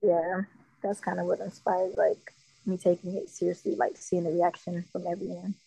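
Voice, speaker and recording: A woman from Jamaica, a musician guest, talking casually in an interview with a relaxed manner and lots of fillers. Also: on the low side, normal speed, gravelly, husky. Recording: dull, dry lo-fi sound.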